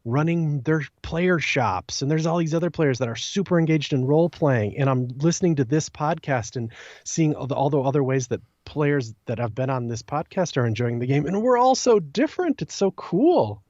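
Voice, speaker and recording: A man's voice: on the high side, animated, normal speed, a little mellow, no room sound, highs cut off. A man chatting casually as a podcast guest, enthusiastic and rambling, with lots of "like" sprinkled through his sentences.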